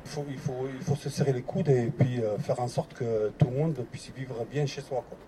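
A man's voice: mid-range voice, fairly even intonation, normal speed, noisy background. A French-born man speaking up in a public discussion, hesitant, full of restarts and fillers. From France. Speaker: participant in a public debate.

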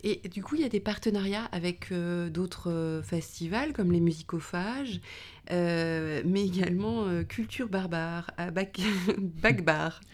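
A woman hosting a radio interview, asking questions in halting phrases with fillers. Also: on the low side, darkish tone.

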